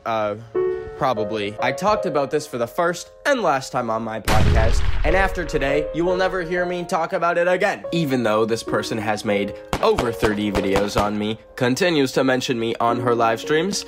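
A man's voice: mid-range voice, animated, moderate pace. A young man narrating a casual comedic vlog as a YouTube host. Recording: loud music behind the voice. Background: music.

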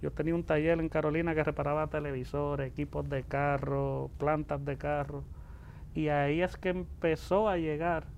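A man in his forties with a Puerto Rican accent tells stories in a relaxed, conversational way. His voice sits high for a man and has a gravelly creak. There is light noise around him as he talks. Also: moderate pace. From born in the Dominican Republic, raised in Puerto Rico from age ten. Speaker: interview guest, music producer. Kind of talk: casual anecdotal storytelling.

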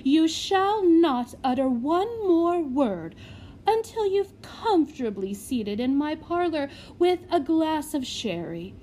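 A woman voicing a fawning, gushing matron who flatters a visiting gentleman. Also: high-pitched, slow, a little hiss or hum, pitched above the performer's own voice, heavily acted. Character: fawning, gushing middle-aged woman running a house for children.

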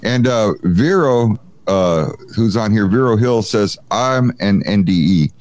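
A man's voice: on the low side, animated, measured pace, a little mellow. A man hosting a live stream, reading viewer comments aloud in a jokey, chatty way with lots of fillers. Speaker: host.

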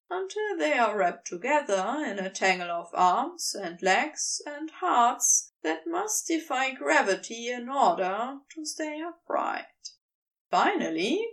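A woman reading scripted fiction aloud as an expressive story narrator. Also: on the high side, recorded from a distance. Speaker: podfic reader.

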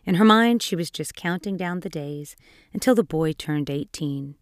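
Female narrator for dark fairy tales: lowish pitch, dramatic scripted read, dry studio-like sound. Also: lively intonation, moderate pace, clean tone, no room sound. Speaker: storyteller.

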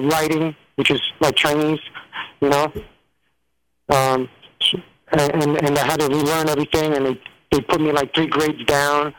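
A man's voice: a little high, a bit bright, a little hiss or hum, low-quality recording. A man, telling his life story as an interview guest, rambling with many fillers and restarts. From New York.

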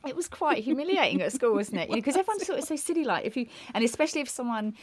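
A woman's voice: high-pitched, sing-song, rapid-fire, no echo. A woman, co-hosting a music podcast in casual, laughing chat with frequent restarts. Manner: chatty, laughing, many restarts. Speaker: podcast co-host.

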